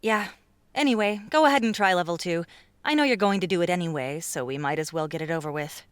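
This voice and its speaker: A man's voice: high-pitched, fast talker, fairly bright tone, smooth, no room sound, pitched above the performer's own voice. A man voicing a casual, teasing young woman companion in an audiobook. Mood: breezy.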